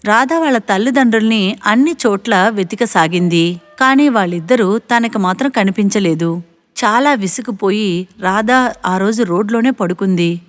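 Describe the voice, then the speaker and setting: A woman's voice: medium pitch, moderate pace, faint music behind. A woman narrating a scripted moral story.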